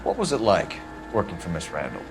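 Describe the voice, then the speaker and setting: A man's voice: low voice, sing-song, a little fast. An actor playing a firm, probing American police detective in a video game, clipped and formal in his questioning. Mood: probing, pressing. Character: firm, probing male 1940s Los Angeles police detective. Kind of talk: video game cutscene acting. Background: music.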